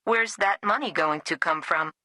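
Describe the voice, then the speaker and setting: A woman's voice: on the low side, moderate pace, sharp tone, dry recording. A woman playing a lonely, needy house that talks to its owner in a radio advert. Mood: wistful, pleading. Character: lonely, needy talking house pleading for care.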